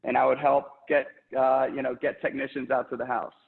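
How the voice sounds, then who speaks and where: A man's voice: medium pitch, flat delivery, a little fast, vocal fry. A man in his thirties from Puerto Rico, talking about his career in an upbeat work interview over a video call, with lots of fillers. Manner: enthusiastic, upbeat, many fillers. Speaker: regional sales director, interview guest. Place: home office, indoors. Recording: tinny echoey video-call audio.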